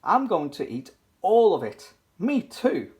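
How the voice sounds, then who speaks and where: A storyteller voicing excited, bossy young squirrel children in a picture book read-aloud. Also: mid-range voice, takes it slowly, voice pushed up. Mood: greedy, excited.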